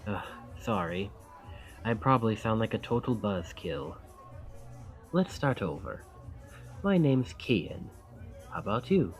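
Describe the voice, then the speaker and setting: A man's voice: lowish voice, big pitch swings, slow, pauses often, soft background music. A man acting a charming, friendly young college student in an intimate ASMR roleplay, with a self-deprecating, thoughtful and wry manner. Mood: sheepish, friendly.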